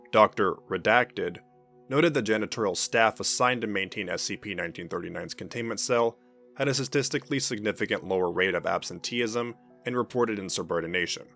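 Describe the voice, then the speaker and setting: Low-voiced man narrating over music. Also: fairly bright tone. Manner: formal, measured delivery. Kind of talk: scripted narration of a fictional scientific report.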